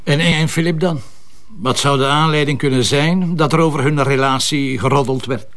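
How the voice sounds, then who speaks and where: A man's voice: a little high, normal speed, fairly bright tone. A man with a Flemish accent playing a polite, sceptical police commissioner who questions formally in an audio drama. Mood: probing. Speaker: actor.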